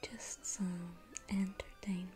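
A young woman playing a sweetly menacing female circus clown in an ASMR roleplay, coaxing and teasing in a breathy whisper. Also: medium pitch, level tone, takes it slowly, mellow, close to an everyday voice. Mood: playful, sly. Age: young adult. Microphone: close ASMR microphone. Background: music.

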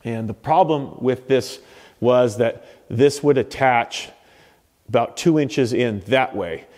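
A man's voice: medium pitch, slow, long reverb tail. Laid-back man touring his garage gym. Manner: relaxed, offhand, with fillers and self-corrections. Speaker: home gym owner giving a tour. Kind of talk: casual walkthrough commentary. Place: garage home gym, indoors.

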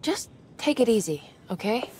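A woman's voice: fairly high pitch, very animated, moderate pace, darkish tone, slight background noise. A woman playing a concerned, determined young woman who speaks earnestly in a video game cutscene.

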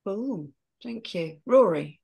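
A woman moderating a panel on a video call, briskly handing over to each speaker. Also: mid-range voice, animated, vocal fry, darkish tone, husky. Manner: brisk, hands over to others. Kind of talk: casual video-call discussion.

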